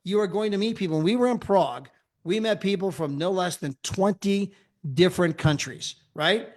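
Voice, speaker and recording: An upbeat American man with a fairly high voice pitches travel deals to a crowd of listeners. He talks energetically and keeps tossing in "right?" and "okay?" to hold their attention. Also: moderate pace. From United States. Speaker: travel club presenter. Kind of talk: sales pitch presentation.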